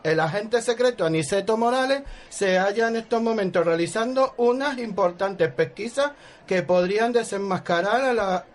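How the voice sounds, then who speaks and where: A man's voice: high voice, normal speed, natural voice. A man playing a formal, self-important investigation leader in a parody radio soap opera. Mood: businesslike.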